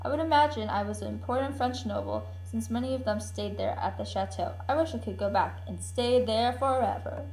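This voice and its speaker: A woman's voice: on the high side, a little fast, hardly any pauses, lightly acted. A woman playing an enthusiastic child tourist telling of a family trip in a scripted puppet show. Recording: faint hum and noise.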